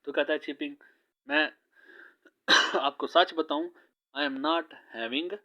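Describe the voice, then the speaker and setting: A man with a Pakistani accent teaching a novel, reading English lines slowly and explaining them at length in Urdu-flavored Hindi. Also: fairly high pitch, fairly even intonation, sharp tone. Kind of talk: line-by-line novel translation lesson. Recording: slightly dull lo-fi sound.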